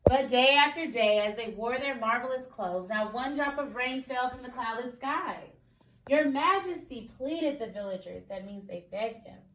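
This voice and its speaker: A woman teacher reading a picture book aloud expressively, pausing to question and explain in an instructive, emphatic way. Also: fairly high pitch, a bit bright. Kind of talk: expressive story read-aloud with questions to children. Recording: echoey room, distant mic, faint noise.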